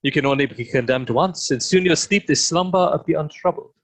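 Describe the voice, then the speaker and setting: A man's voice: fairly high pitch, brisk, no echo. A man playing a contemptuous, taunting soldier in a historical play reading, with sneering, declamatory archaic speech. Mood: bitter contempt. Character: contemptuous, taunting soldier, bitter toward condemned prisoner. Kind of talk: dramatic table read of historical play.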